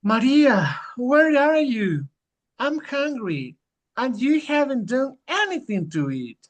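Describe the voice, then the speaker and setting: A baffled husband in an audio drama, voiced by an actor, plaintive and demanding. The delivery is slow, with the pitch moving around a lot. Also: big pitch swings, heavily acted. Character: bewildered, demanding husband of the haunted woman.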